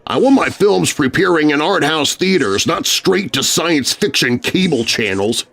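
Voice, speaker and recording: A man's voice: fairly high pitch, animated, measured pace, gravelly, fairly bright tone, pitched above the performer's own voice, full character voice. A man voicing a bitter, self-important film director in a storybook tale, grumbling and growling with exasperation. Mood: indignant roaring.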